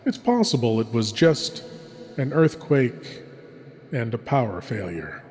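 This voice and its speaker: Man, horror show host, medium pitch, theatrical, mock-solemn, deliberate dramatic pauses, long reverb. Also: big pitch swings, darkish tone.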